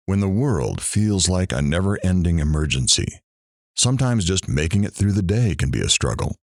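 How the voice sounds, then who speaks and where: An actor playing a calm, earnest radio talk host who speaks in a measured, reassuring, counselling way in an audio drama. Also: male voice, deep voice, no room sound, studio quality.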